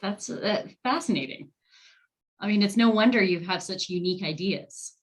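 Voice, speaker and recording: A woman's voice: mid-range voice, moderate pace. A woman interviewing a guest, asking enthusiastic questions with frequent restarts. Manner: enthusiastic, warm, stumbling restarts.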